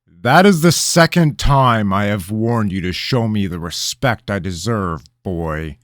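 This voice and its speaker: A cruel, arrogant king on his throne barks slow, dangerous commands, as a male narrator puts him on. The voice sits low and sounds close and dry, with no room around it. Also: animated, dry recording. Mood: menacing.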